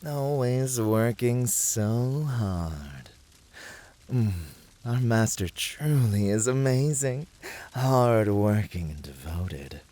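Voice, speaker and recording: A man playing a flirty, devoted incubus demon in an ASMR roleplay, teasing his master with effusive flattery. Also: medium pitch, drawn-out words. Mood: adoring, flirty.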